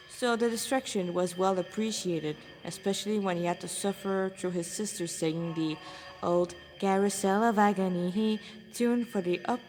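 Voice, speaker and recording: A woman's voice: mid-range voice, a little mellow. Echoey woman reading her own story. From Montreal, Canada. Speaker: fan fiction writer and reader. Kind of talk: amateur read-aloud of own fan fiction with informal introduction. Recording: echoey room with long reverb.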